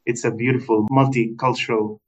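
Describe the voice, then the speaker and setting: A man from Egypt, a guest answering interview questions in a hesitant, unhurried way with many fillers. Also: mid-range voice, level tone. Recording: distant, dull lo-fi sound.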